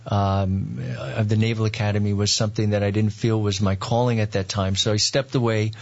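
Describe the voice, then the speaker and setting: Low-voiced New York priest, halting. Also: male voice, little pitch movement, creaky, a little mellow, dull audio. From Long Island, New York. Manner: halting, many "um" and "uh" pauses. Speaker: priest, guest. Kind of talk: interview, relaxed storytelling.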